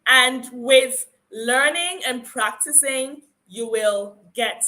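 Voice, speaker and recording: Nigerian woman lecturer, high bright voice, encouraging and emphatic phonics teacher. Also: slow pace. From Akwa Ibom, Nigeria. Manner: encouraging, emphatic, repeats key points. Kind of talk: conference lecture.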